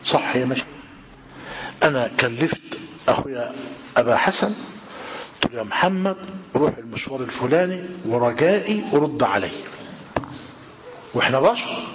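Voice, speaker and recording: An older man with an Egyptian Arabic accent, giving a religious lesson in a hall and questioning his listeners, slowing down when he quotes classical texts. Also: mid-range voice, crowd noise. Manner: lively rhetorical questioning of the audience. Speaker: preacher. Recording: reverberant hall with faint noise.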